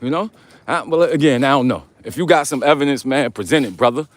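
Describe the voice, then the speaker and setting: A man with a deep voice hosting a casual, rambling vlog, speaking in slangy, conversational style and addressing listeners as brother and man. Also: quick pace. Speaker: host of a live vlog. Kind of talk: casual rambling talk, answering viewer comments.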